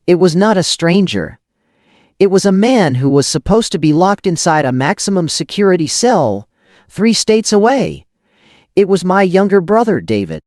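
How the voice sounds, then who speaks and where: A man's voice: mid-range voice, a little mellow, dry recording, clean studio sound. A man reading a first-person scripted thriller as an audio drama narrator, in tense, dramatic, clipped phrases.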